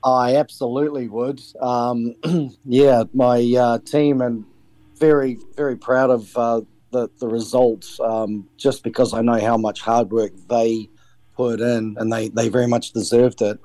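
A man's voice: medium pitch, little pitch movement, measured pace, dark tone, dry recording, rough recording. A middle-aged man from New Zealand with a New Zealand accent, a sailing skipper answering interview questions with many ums and restarts. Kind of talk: interview over the air.

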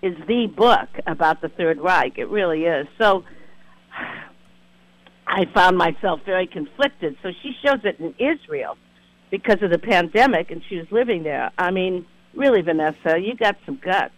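A man's voice: sits high, animated, normal speed, no room sound, low-quality recording. A man hosting a conversational radio interview in an effusive, emphatic way. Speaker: radio show host.

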